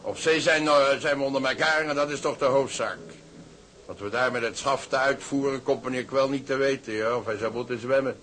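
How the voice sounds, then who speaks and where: An actor playing an older tugboat skipper in a radio drama, settled and resigned, speaking in a measured, knowing way. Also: male voice, mid-range voice, a bit bright, slight background noise, lightly acted.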